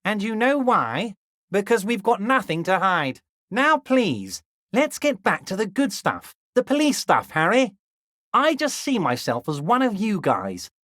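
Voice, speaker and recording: An actor playing a smooth-talking, manipulative middle-aged union boss who speaks in a sing-song, falsely friendly way and drops low whenever he says his listener's name. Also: very animated, unhurried, pushed and bright, no room sound, clean studio sound, exaggerated voice. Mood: wheedling. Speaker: game character. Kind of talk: video game voice acting.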